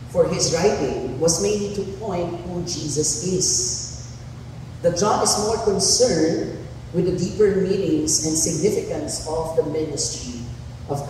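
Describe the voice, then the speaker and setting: A man preaching a sermon as pastor, with a husky, hoarse voice, deliberately laying out numbered points and sometimes restarting a phrase. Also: fairly high pitch. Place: large church hall. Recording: echoey church hall from far off, faint noise.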